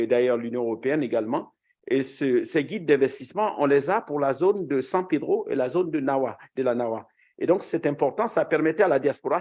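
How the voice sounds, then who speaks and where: A man speaking earnestly on a conference panel as a former official, often pausing and restarting phrases. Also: mid-range voice, a little mellow. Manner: earnest, hesitant with frequent restarts.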